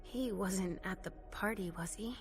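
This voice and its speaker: An actress playing a hesitant vampire seer in a video game cutscene, tentative and obedient. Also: female voice, mid-range voice, unhurried, close to an everyday voice. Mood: uncertain. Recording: faint music behind.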